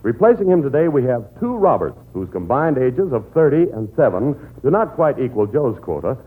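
A man's voice: mid-range voice, big pitch swings, moderate pace, narrow, tinny audio. A man announcing a 1940s radio show, with showy, playful introductions.

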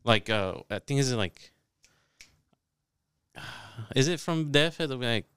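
A man's voice: medium pitch, lively intonation, a little slow, a bit bright, smooth, no echo, clean studio sound. A man in casual chat, laid-back and asking curious questions. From Mexican family.

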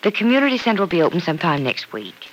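A woman's voice: fairly low pitch, big pitch swings, rapid-fire, low-quality recording. An actress playing a kind, patient but stubborn young woman in an old radio soap opera, earnest and soothing. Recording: old radio drama recording.

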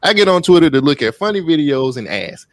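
A man's voice: a little high, big pitch swings, fairly quick, slight background noise. A man hosting a podcast, chatting casually and teasing his co-host with jokes. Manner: teasing, joking. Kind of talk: casual chat.

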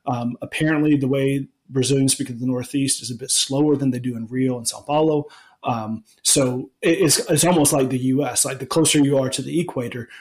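A man in his forties from Alabama, the usual host, answering interview questions in a relaxed, rambling way with many restarts. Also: mid-range voice, a little fast, slight background noise. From Alabama, United States. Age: 40s. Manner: rambling, many ums and restarts, laughs easily. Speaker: podcast host being interviewed. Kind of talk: casual interview chat.

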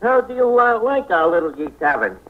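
A man's voice: high voice, very animated, brisk. A man acting a brash, wisecracking tavern keeper in a 1940s American radio comedy, with a hemming, word-mangling patter. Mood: smooth-talking. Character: brash, fast-talking male tavern keeper running a shabby hotel. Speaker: comedy show lead. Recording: scratchy, tinny old radio recording, loud noise.

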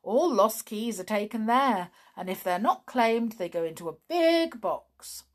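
A woman voicing a helpful male toy policeman who explains things quickly and matter-of-factly. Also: a little high, animated.

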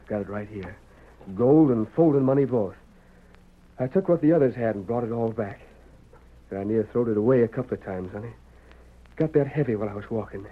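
A man's voice: medium pitch, brisk, pauses often, close to an everyday voice. An American actor playing an exhausted, dutiful frontier husband and father with a folksy Western drawl, in old-time radio style. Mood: earnest, stubborn. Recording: muffled narrowband vintage radio recording.

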